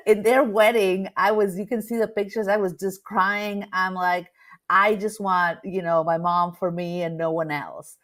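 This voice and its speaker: Low-voiced woman guest. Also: a bit bright. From Argentina. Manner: candid, with many fillers and restarts. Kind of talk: casual storytelling interview.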